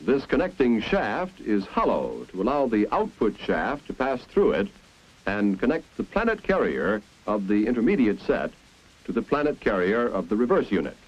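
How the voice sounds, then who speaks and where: An American man reading scripted 1950s instructional film narration in a clear, deliberate, explanatory manner. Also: on the low side, sing-song. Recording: dull, band-limited 1950s film soundtrack.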